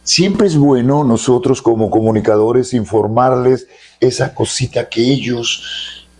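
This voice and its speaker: A chatty man from Peru hosts a relaxed interview. He jokes around and fills the pauses with quick uh-huhs while his guest answers. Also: medium pitch. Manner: chatty, playful, lots of backchannel uh-huhs. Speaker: interviewer, digital creator. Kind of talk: casual interview hosting.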